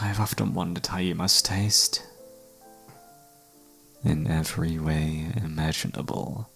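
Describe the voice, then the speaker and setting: A man's voice: low voice, fairly even intonation, measured pace, vocal fry, a bit bright, slightly rough, heavily acted. A man acting an obsessive, flirtatious serial killer who holds a detective captive, speaking with teasing mock courtesy in an ASMR roleplay. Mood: flirtatious, menacing. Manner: teasing, mock-polite, theatrical, savouring words. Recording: background music under the voice.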